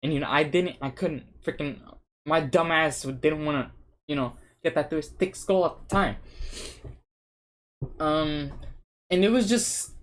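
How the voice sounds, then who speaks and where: A man's voice: on the high side, measured pace, long pauses. A young man whose first language is Spanish, rambling and swearing casually to a cheap webcam while seated indoors, with lots of restarts and fillers. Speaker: vlogger. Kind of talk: casual unscripted chat to camera.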